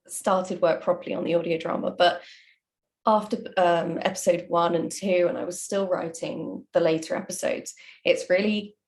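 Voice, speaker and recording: Woman writer in a casual panel chat, lowish gravelly voice with some fry. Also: fairly even intonation, normal speed. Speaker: audio drama writer.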